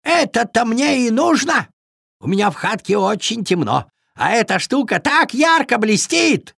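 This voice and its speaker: Man voicing an eager high-pitched beaver. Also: unhurried, gravelly, husky, no room sound, studio quality, full character voice. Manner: excited exclaiming. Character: eager, covetous male beaver.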